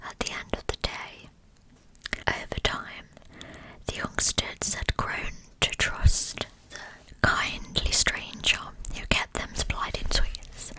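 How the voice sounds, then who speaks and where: A woman narrating a scripted true crime story as ASMR in a breathy, unvoiced whisper, with soft, measured phrasing. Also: poor audio.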